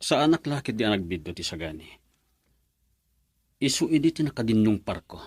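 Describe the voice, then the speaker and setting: An actor playing an earnest man who calmly and persuasively asks to talk with a young woman. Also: on the low side, fairly quick, slightly rough, dry recording, clean studio sound. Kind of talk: radio drama acting.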